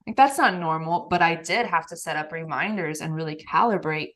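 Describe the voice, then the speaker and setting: A woman hosting a podcast conversation, talking in an emphatic, animated way with frequent laughs. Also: sits low, a little fast. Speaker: podcast host, nervous system coach.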